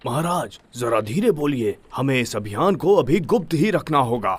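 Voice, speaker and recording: An actor playing a grave, authoritative commander in a cartoon dub, speaking in a firm, serious, controlled way. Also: male voice, mid-range voice, expressive, normal speed, breathy edge. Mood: guarded. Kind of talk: Hindi cartoon dub acting.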